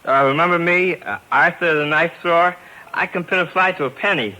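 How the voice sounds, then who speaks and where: A man's voice: a little high, sharp tone, rough recording. A man playing a simple, timid, eager carnival knife thrower who stammers and hesitates, in 1950s radio drama style. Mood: eager, shy. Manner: hesitant, stammering, slow-witted. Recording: vintage 1950s radio broadcast.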